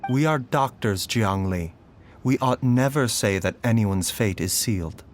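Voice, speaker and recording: A gentle male physician in a game drama. His mid-range voice moves expressively up and down while staying formal and reassuring, with music behind him. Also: fairly low pitch, animated. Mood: quietly resolute. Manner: measured, polite, reassuring, formal. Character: gentle, composed, self-sacrificing male physician. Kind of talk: dramatic video game voice acting.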